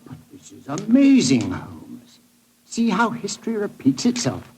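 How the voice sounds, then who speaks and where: A faintly hissy old radio recording has an earnest English gentleman. His voice is rather high and swoops in excitable, emphatic 1940s drama style. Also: a little slow, exaggerated voice. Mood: amazed. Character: earnest, amazed gentleman helping a detective.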